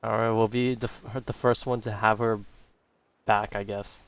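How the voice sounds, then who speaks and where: A man's voice: lowish voice, flat delivery, moderate pace, clear, resonant tone, dull audio. A man giving casual gameplay commentary in an offhand way, as if talking to himself. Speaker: gamer commenting on a playthrough.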